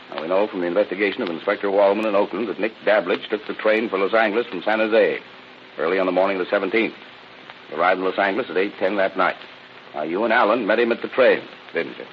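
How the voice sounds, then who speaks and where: An actor playing a relentless, tired, hard-boiled police lieutenant, stern and badgering, in a 1930s radio drama. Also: male voice, on the low side, fast, natural voice. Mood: weary, pressing. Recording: dull, noisy vintage radio recording.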